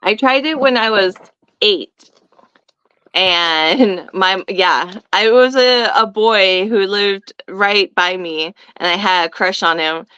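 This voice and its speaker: A middle-aged woman with a smooth, clear voice who chats and tells stories easily. The recording sounds slightly dull, as if low quality. It is also dry, with no room sound. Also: takes it slowly, sharp tone, no echo. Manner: chatty storyteller, laughs easily. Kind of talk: casual chat.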